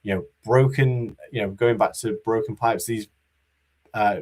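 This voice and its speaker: Man, very low voice, monotone, halting delivery, lots of ums and restarts. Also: low-quality recording. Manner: halting, many fillers and restarts. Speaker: conference speaker. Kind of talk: conference talk.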